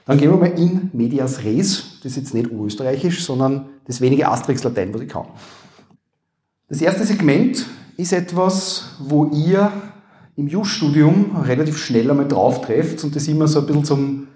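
Upper Austrian male lawyer, slightly high voice, dry stage humor, echoey hall. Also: expressive, moderate pace, warm tone. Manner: dry joking asides, trailing off low. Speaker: lawyer and comedy panelist. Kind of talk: live stage talk with jokes. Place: university hall before a live audience. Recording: echoey room. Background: audience.

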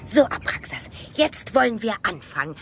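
A woman's voice: on the high side, big pitch swings, normal speed, a bit bright, soft background music. A woman playing an exuberant, girlish little witch in a children's audio drama, exclaiming shrilly. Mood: gleeful.

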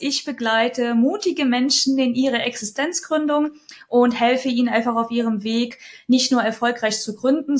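A woman in her thirties, born in Ukraine and long living in Germany, a startup coach answering interview questions in a friendly, talkative way and laughing easily. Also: on the high side. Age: 30s. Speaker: podcast guest, startup coach.

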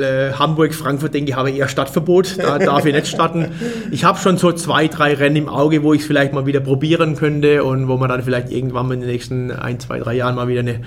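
A German man in his forties, a race organizer, answering interview questions in a casual way and often restarting his sentences. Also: mid-range voice. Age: 40s. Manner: fast, restarts and repeats words.

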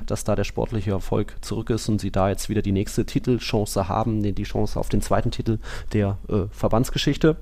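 Gravelly, mellow-voiced podcast man. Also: lowish voice, fairly even intonation, fairly quick, few pauses. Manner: rambling, self-correcting analysis with many fillers. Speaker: podcast co-host. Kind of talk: casual football podcast chat.

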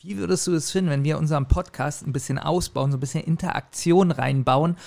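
A man co-hosting a podcast in casual chat, musing haltingly and dropping dry jokes. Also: a little high, gravelly, a little mellow. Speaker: podcast co-host.

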